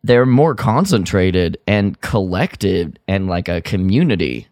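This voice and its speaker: A man co-hosting a casual podcast discussion, talking in a halting way as he thinks aloud. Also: low-pitched, very animated, darkish tone, no room sound, studio quality. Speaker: podcast co-host.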